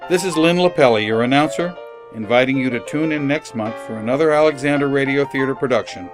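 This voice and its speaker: A man's voice: medium pitch, fast talker. A man delivering a scripted sign-off as an announcer in old-time radio style. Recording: music under the announcement. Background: music.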